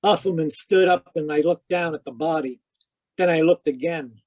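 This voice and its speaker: An actor playing a hard-boiled private detective in old-time radio style, wry, clipped and matter-of-fact. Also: male voice, on the high side, normal speed, a little mellow, natural voice. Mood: tense narration. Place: home, live video call performance.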